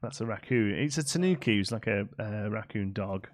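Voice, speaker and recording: Chatty male streamer, deep voice, game music underneath. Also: expressive, moderate pace. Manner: chatty, reads out gift notes and thanks viewers. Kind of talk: casual live gameplay chat.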